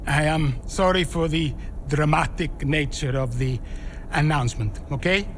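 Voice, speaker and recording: A man's voice: a little high, measured pace, a little hiss or hum. An actor playing a flamboyant, commanding male race team owner who speaks formally and teasingly to his staff in a game cutscene. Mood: showy.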